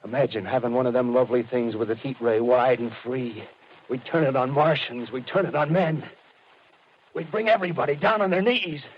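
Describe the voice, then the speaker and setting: A man's voice: mid-range voice, fairly quick, a little hiss or hum, close to an everyday voice. Man acting embittered ranting survivor, muffled old radio. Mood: feverish, grandiose. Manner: slangy, streetwise, ranting. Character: embittered, scheming militia survivor, feverish with grand plans. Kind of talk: 1930s radio drama acting. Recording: muffled vintage 1930s radio broadcast.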